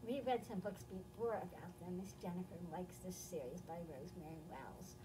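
A woman's voice: fairly low pitch, animated, normal speed, background noise. A middle-aged woman with an American accent, presenting a children's storytime and explaining things simply to young listeners. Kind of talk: children's read-aloud and simple instruction. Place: indoor library, seated among bookshelves. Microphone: camera several feet away.

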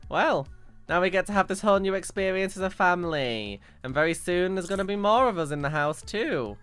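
A woman's voice: fairly low pitch, expressive, brisk, clean tone, lightly acted. A woman playing a house-proud, caring young mother in an improvised video game family roleplay. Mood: happy, relieved. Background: music.

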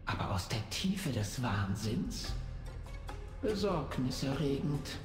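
Game spymaster mistress: imperious, watchful, measured orders, echoing over music. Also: mid-range voice, big pitch swings. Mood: concerned, probing. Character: commanding, watchful spider-folk spymaster mistress. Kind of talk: video game voice acting.

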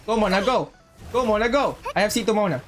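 A game streamer giving casual, excitable live commentary, often repeating short phrases. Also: mid-range voice, quick pace, over music. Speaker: livestreamer playing a game.

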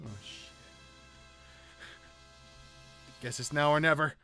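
Man playing a bright-voiced, hesitant young hero. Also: a little high, fairly even intonation, faint music behind. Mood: defiant, pleading. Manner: halting, unsure. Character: hesitant, earnest young male hero fighter. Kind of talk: amateur anime game roleplay acting.

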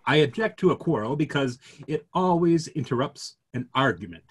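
A man's voice: medium pitch, lively intonation, moderate pace, slightly rough. A man in his fifties reading a play as a mild, earnest clergyman who reasons things out at length. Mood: earnest reasoning. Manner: earnest, discursive. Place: small study, video call.

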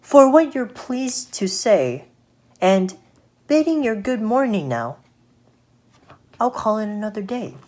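A woman's voice: medium pitch, big pitch swings, slow pace, pauses often, darkish tone, voice pushed up. A woman voicing a little female fly from a children's storybook, polite, dainty and wary. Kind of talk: children's storybook read aloud.

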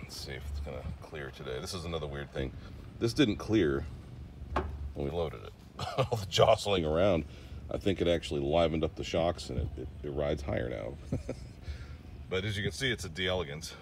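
Very deep-voiced man chatting over outdoor noise. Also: expressive. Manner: rambling, chatty, pauses with fillers. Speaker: classic car vlogger. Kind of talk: casual walkaround narration. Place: outdoors by a car on a trailer.

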